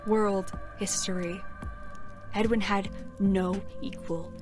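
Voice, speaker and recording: A woman's voice: mid-range voice, little pitch movement, takes it slowly, close to an everyday voice. A woman playing a brash, resentful eighteen-year-old knight in a fantasy audio drama, talking bluntly and sarcastically. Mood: bitter, resentful. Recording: music behind the voice.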